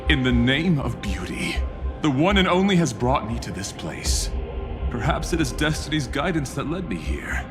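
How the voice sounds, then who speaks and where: A man's voice: medium pitch, big pitch swings, moderate pace, natural voice. A man playing a gallant knight devoted to beauty in a video game, declaiming in florid, theatrical speech. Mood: reverent, proud. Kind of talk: dramatic video game voice acting. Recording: long hall reverb, music behind. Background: game music.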